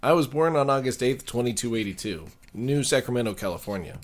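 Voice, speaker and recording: A man's voice: medium pitch, lively intonation, a little fast, close to an everyday voice. An actor playing a dutiful young man applying as a pilot in an audio drama, formal and respectful.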